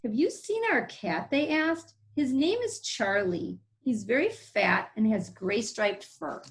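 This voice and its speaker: A woman teacher reading a picture book aloud, pausing to explain things simply to young listeners. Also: fairly high pitch, lively intonation.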